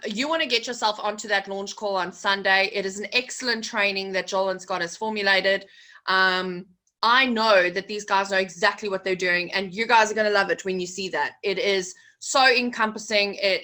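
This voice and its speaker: A woman's voice: medium pitch, steady tone, moderate pace, pushed and bright. A woman hosting a team video call, bubbly and enthusiastic. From South Africa. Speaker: host of a corporate call. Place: guest house room.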